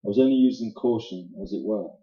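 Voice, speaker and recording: An actor in a noir radio play, playing a fussy, nervous, spiteful crook who talks in a prissy, precise way. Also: male voice, lowish voice, fast talker, lightly acted. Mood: fussy, needling. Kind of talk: staged radio play acting, 1940s noir. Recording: roomy, dull live stage recording.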